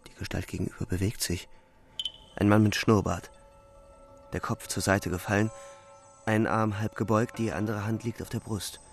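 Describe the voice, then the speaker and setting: An actor playing an anguished young soldier in a radio play, delivering a grave, halting, intense first-person monologue. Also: male voice, lowish voice, level tone, gravelly. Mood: horrified. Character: anguished young male soldier recounting a killing in a shell hole. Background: music.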